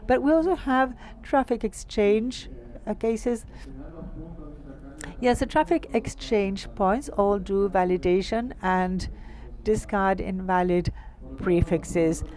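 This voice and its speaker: A woman's voice: mid-range voice, slow pace, dark tone. A woman from Colombia with a Colombian accent, answering an audience question in an explanatory way and piling up examples.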